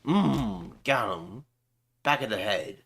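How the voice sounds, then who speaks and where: A man streaming a video game live, reacting with loud, excited exclamations. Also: lowish voice, slow pace, creaky, husky.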